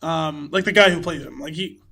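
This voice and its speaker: A man co-hosting a podcast, chatting casually about films in an animated way, often saying "like". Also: fairly high pitch, fairly quick, vocal fry. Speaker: podcast co-host. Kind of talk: casual film review chat.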